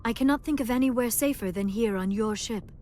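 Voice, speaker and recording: An actress playing a young alien scientist in a video game, earnest and polite, with formal, precise speech. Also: female voice, a little high, fairly quick, dark tone, a little hiss or hum, lightly acted. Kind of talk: video game dialogue acting.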